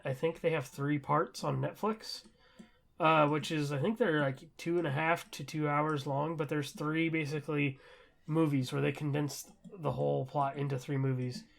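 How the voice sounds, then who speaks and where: Young male podcast co-host, slightly high and very creaky, rambling enthusiastically in casual conversation. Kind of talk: casual chat about shows and anime.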